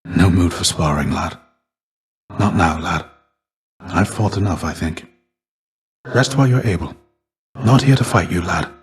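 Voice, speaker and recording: A middle-aged man acting a video game role, a gentle, weary warrior shade and mentor, speaking in a deep, soft-edged voice with measured, courteous, old-fashioned formality. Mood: weary, relaxed.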